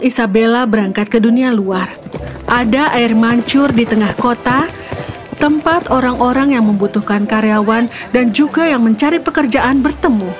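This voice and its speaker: A woman narrates a children's fairy tale in a fairly high voice. She reads from a script as the storyteller, over light background music. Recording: music behind the voice.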